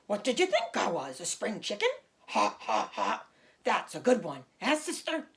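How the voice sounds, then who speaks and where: A woman voicing a big, brash male pig who talks loudly and jovially, overly familiar. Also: medium pitch, wildly swooping pitch, normal speed, vocal fry. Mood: jolly. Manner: loud, jolly, overly familiar.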